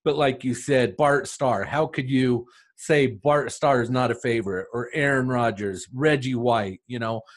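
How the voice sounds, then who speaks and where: A man's voice: medium pitch, measured pace, hardly any pauses. A man hosting a casual interview, asking questions in an upbeat, encouraging way. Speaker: host.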